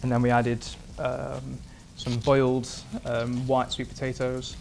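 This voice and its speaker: A man in his thirties, a performance chef from England, answering interview questions in a relaxed, rambling way with many fillers, in a home kitchen. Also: mid-range voice, unhurried, creaky, slight background noise. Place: modern home kitchen indoors.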